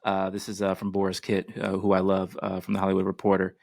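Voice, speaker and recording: A man's voice: deep voice, monotone, fast, gravelly, a little mellow, no room sound, studio quality. A man hosting a podcast, chatting casually and introducing topics. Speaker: podcast host.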